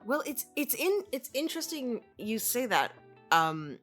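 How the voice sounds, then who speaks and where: A woman's voice: on the high side, very animated, measured pace, fairly bright tone. A woman co-hosting a casual discussion in a reflective, wry, conversational way. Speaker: co-host, voice actor. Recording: music playing underneath.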